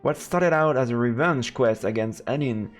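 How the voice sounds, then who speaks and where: A man's voice: mid-range voice, moderate pace. A man livestreaming a visual novel, switching between casual muttered commentary and reading the narration aloud over game music. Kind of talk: casual let's play chat mixed with read-aloud narration.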